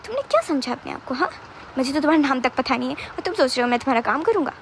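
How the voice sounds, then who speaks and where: A woman's voice: high-pitched, expressive, racing, slight background noise. A woman voicing a defiant young man held captive, indignant and stammering as an audio story figure, repeating demands.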